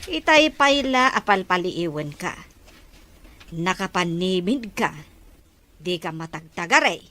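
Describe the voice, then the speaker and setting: A woman's voice: lowish voice, lively intonation, takes it slowly, a bit bright. A woman with an Ilocano accent playing a nagging village woman in a comedy drama. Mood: scolding.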